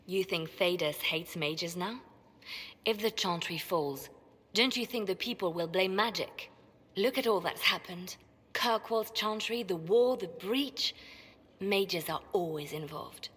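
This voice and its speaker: Breathy, poised mage advisor. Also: female voice, on the low side, a little slow, a bit bright. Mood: urgent, persuasive. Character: poised, ambitious female mage advisor, coolly persuasive. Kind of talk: video game cutscene acting. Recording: long hall reverb.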